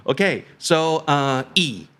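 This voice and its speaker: A man's voice: on the high side, sing-song, takes it slowly, a bit bright, smooth. A man hosting an interview, asking questions in an encouraging, upbeat way. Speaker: interview host.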